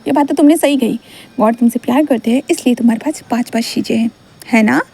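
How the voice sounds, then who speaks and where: A woman voicing a calm, patient young man who answers quietly in short replies. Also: a little high, fast, vocal fry, slight background noise.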